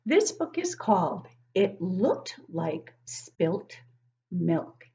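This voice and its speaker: An older woman reads a picture book aloud to young children like a teacher, expressively, pausing to ask them questions. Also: on the low side, sing-song, vocal fry, mellow, muffled recording. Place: living room at home, indoors.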